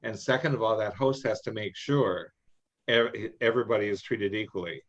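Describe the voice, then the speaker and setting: Middle-aged man, lowish pitch, folksy adviser on an online meeting, somewhat dull lo-fi sound, halting informal delivery. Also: fairly bright tone. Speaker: experienced contest judge and adviser. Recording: muffled, band-limited online meeting audio.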